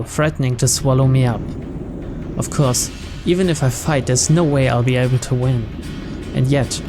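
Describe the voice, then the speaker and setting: A woman reading visual novel text aloud as dramatic narration for a gaming channel. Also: very deep voice. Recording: loud game music behind voice.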